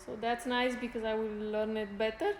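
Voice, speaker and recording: A woman whose first language is Spanish, chatting casually with viewers on a live stream, unhurried and pausing to search for words. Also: a little high, flat delivery, slight background noise. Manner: unhurried, pauses to search for words, light laughs. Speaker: fitness streamer. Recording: roomy echo with faint music.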